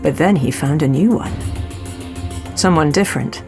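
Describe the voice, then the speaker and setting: A woman's voice: low voice, moderate pace, a little mellow, faint music behind. A woman playing a wry archaeology professor narrating her own adventure in an audio drama. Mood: sombre, reflective. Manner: wry, storytelling. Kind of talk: dramatic first-person scripted narration.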